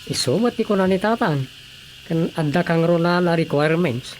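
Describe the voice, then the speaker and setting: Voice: medium pitch, animated, moderate pace, a little hiss or hum, close to an everyday voice. A performer with an Ilocano accent playing a questioning, doubtful villager in a radio drama comedy. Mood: explaining.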